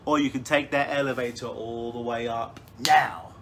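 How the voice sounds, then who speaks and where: A man leading a guided hypnosis visualization, speaking in slow, soothing, suggestive phrases. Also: mid-range voice, fairly even intonation, a bit bright, slight background noise.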